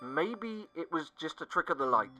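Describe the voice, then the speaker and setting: A man narrating a scripted first-person horror story, dramatic and sinking to hushed tones at the tense moments. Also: on the high side, animated, moderate pace. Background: faint music.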